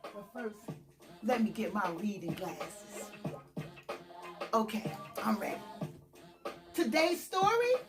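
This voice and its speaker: A woman's voice: mid-range voice, sing-song, slow pace, pauses often, loud music behind the voice. A woman hosting a children's storytime in a theatrical, emphatic, singsong read-aloud style, drawing out and repeating phrases.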